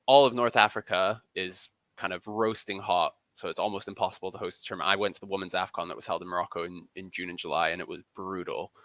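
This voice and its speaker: Bright, low-pitched man in conversation. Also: no room sound. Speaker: interview guest, football journalist. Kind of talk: casual interview conversation.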